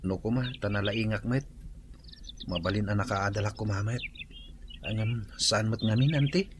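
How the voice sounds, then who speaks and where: An actor in an Ilocano radio drama playing a quiet middle-aged farmer, speaking in a hushed, husky voice with an Ilocano accent. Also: male voice, lowish voice, normal speed, background noise, natural voice.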